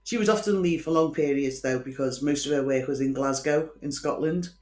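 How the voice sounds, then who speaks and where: A woman's voice: low-pitched, fairly quick, darkish tone. Scouse woman storyteller in an echoey room. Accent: Liverpool Scouse. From Liverpool, England. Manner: opinionated, wry asides. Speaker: host. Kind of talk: chatty true-crime storytelling.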